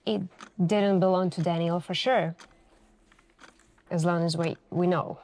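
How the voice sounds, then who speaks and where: A woman playing a calm, insistent police detective in an ASMR interrogation roleplay, speaking in a hushed, deliberate way with pauses between short phrases. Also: lowish voice, lightly acted. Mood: ominous.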